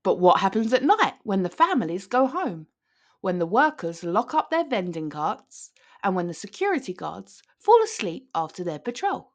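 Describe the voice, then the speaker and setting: Animated woman reading a children's story. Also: medium pitch, moderate pace. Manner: theatrical, with stretched words for emphasis. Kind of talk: dramatic read-aloud of a picture book.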